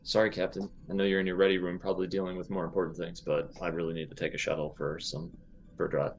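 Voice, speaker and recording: A man with a fairly low voice chats as a player in a tabletop game. His delivery is casual and wry, full of joking asides. The recording carries some background noise. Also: completely flat delivery, fast.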